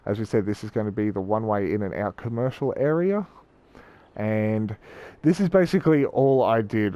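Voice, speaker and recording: Croaky man. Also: mid-range voice, dark tone, highs cut off. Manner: chatty, rambling, self-correcting. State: croaky from a cold. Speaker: gaming YouTuber. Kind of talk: casual gameplay commentary.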